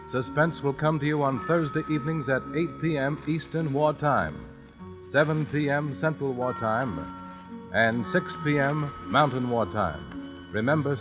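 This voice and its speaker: A man reading a scripted 1940s network radio announcement in a formal, deliberate way. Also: medium pitch. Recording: muffled 1940s radio recording. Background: music.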